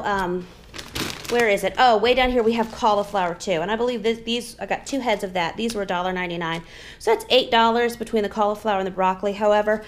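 A woman in her thirties, a home vlogger, chatting casually and rambling with lots of fillers while showing her groceries in her dining room. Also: a little high. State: pregnant.

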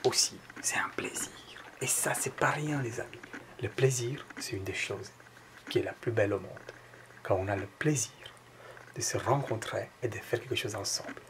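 A man's voice: on the low side, moderate pace, whispered. A man in his forties with a French accent, chatting casually to camera as an artist vlogger and calling his viewers friends. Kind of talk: casual vlog talk to camera. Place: large empty exhibition hall, indoors. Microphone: handheld camera at arm's length. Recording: long hall reverb with music behind.

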